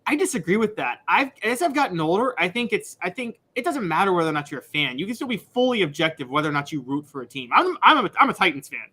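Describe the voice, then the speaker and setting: Thirties guy co-hosting, high bright voice, earnest and laughs easy. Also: big pitch swings, fast, hardly any pauses, slightly rough. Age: 30s. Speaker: co-host. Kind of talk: casual sports talk.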